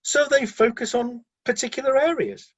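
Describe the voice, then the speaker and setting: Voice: high voice, moderate pace. An actor playing a loyal, defensive celestial middle manager in a satirical radio play, breezily making corporate excuses and sounding mildly flustered.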